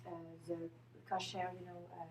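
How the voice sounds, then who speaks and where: A woman in her thirties, a native French speaker with a French accent, giving halting personal testimony at a community event and searching for English words. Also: lowish voice, monotone. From France. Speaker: panel speaker. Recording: echoey hall, speaker far from mic.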